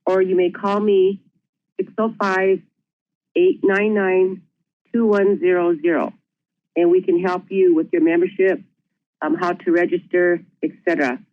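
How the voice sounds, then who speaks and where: A woman's voice: fairly low pitch, level tone, measured pace, pauses often, no echo. A woman who serves as a board secretary, giving plain, friendly webinar remarks. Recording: muffled, dry conference audio.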